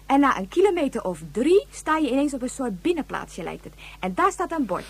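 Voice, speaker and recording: A woman's voice: high voice, big pitch swings, brisk. An actress playing a blunt but helpful settler woman in a radio drama, with a folksy, scolding but obliging manner. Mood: confiding, explaining.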